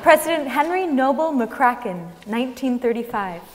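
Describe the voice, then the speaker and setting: High-pitched woman in a reverberant hall. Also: lively intonation, normal speed. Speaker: reader in a staged dramatic reading. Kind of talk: theatrical stage reading. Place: large concert hall stage, before an audience.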